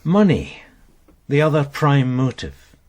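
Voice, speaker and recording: An actor playing a loyal, matter-of-fact police sergeant in a radio detective drama, gently droll in manner. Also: male voice, medium pitch, very animated, slow pace.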